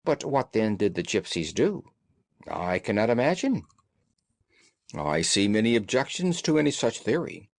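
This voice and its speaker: Guy reading as the detective's loyal gentleman pal, sober, formal, expressive, no room sound. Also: fairly low pitch, normal speed, no echo. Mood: puzzled.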